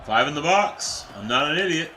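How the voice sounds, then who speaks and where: A young adult man, a teacher by day, giving casual, excitable live-stream commentary while playing a football video game and chatting with viewers. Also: fairly high pitch, normal speed, faint music behind. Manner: excitable, chatty, reacts to plays and reads chat aloud.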